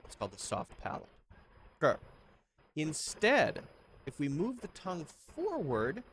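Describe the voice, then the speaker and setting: A man from the United States giving a pronunciation lesson, demonstrating the sounds out loud. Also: fairly high pitch, a little hiss or hum. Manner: demonstrates sounds, lively delivery. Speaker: accent coach.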